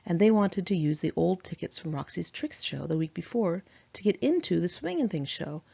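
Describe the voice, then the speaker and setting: A woman narrates her own memoir with a low, gravelly fry in her voice. She is candid and sassy, talking as if chatting to friends. The tone is dark and mellow, caught on a slightly dull home recording. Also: lively intonation, moderate pace. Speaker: author reading own memoir aloud.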